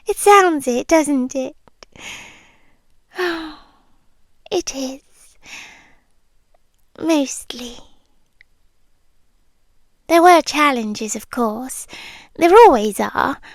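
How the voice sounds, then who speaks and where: A British woman playing an ancient, possessive, obsessively devoted immortal lover in an intimate ASMR roleplay, speaking in a hushed, breathy, deliberate way that often slips into whispers. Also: high voice, lively intonation, dry recording, studio quality, full character voice. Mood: tender, possessive. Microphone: close microphone, dry.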